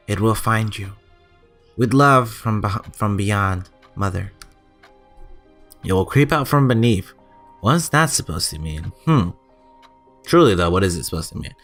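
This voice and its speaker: Male gaming host, lower-pitched voice, informal and chatty, music underneath. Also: fairly bright tone. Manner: informal, chatty, stumbles when reading aloud. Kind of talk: casual let's play commentary, reading game text aloud.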